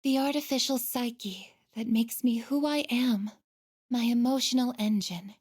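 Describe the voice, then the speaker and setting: A woman voicing a gentle, earnest female android in a video game, speaking softly and hesitantly with shy devotion. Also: fairly high pitch, fairly even intonation, slightly rough. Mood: hesitant, sincere. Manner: soft, hesitant, deliberate, tender. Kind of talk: video game voice acting, emotional dialogue.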